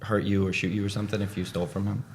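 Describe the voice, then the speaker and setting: A man's voice: on the low side, little pitch movement, rapid-fire, darkish tone, faint music behind. A man from Boston hosting a podcast, asking easygoing, joking questions in a casual interview. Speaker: podcast host.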